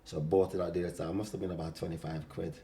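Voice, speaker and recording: A man's voice: deep voice, level tone, very fast, mellow. A man, chatting casually as an interview guest and telling anecdotes, often repeating himself. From West Indies. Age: 60s. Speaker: podcast guest, singer-songwriter.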